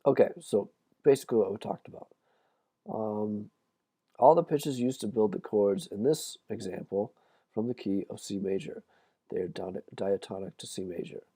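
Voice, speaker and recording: Guitar teacher, male, croaky and low, laid-back explainer, close dry sound. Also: dark tone, no echo. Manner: casual, explaining with frequent "okay?" checks. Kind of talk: livestreamed music theory lesson.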